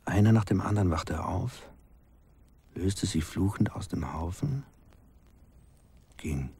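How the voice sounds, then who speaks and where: An actor playing a grave village man who recounts a haunting past in a hushed, halting radio drama narration, his husky voice often sinking to a whisper. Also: low-pitched, animated, full character voice. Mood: grave, haunted. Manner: hushed, halting storytelling in short sentences.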